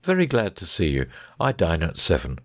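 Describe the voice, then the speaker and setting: A man playing a shrewd, composed gentleman detective in a dramatic audiobook reading, precise and measured, with quiet authority. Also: deep voice, huge pitch swings, no echo, studio quality. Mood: composed, genial.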